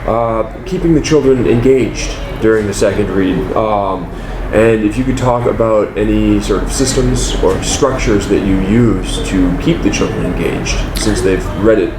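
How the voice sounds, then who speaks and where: A man's voice: fairly low pitch, unhurried, few pauses. A man asking interview questions in a casual, somewhat hesitant and tentative way. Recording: echoey room, clear background hum.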